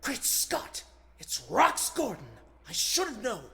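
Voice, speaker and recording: A man's voice: very high-pitched, big pitch swings, slow, creaky, fairly bright tone, breathy edge, full character voice. A man playing a bold, earnest superhero thinking aloud, declamatory and melodramatic in 1940s radio serial style. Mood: determined, hushed.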